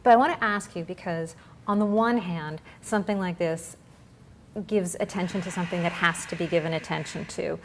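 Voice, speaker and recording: Low-pitched American woman interviewer. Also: steady tone, fairly quick, a little hiss or hum. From United States. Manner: articulate, wry, phrasing long questions. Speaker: interview host. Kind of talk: conversational interviewing.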